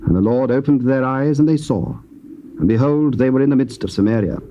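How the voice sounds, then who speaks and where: A man's voice: medium pitch, warm tone, muffled recording. Man in stately scripture narration. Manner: measured, stately reading. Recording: faint tape hiss.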